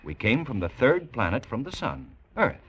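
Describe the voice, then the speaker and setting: A man's voice: medium pitch, big pitch swings, normal speed, fairly bright tone, a little hiss or hum. An actor playing an earnest, awestruck spaceman longing for home, in a dramatic old-time radio style. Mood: patient.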